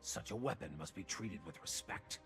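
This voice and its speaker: An actor playing a gruff, world-weary, arrogant archmage in a video game, with gravelly, sardonic, declamatory delivery. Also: male voice, deep voice, animated, moderate pace, a bit bright, soft background music, exaggerated voice. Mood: grave, ominous. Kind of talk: video game character voice lines.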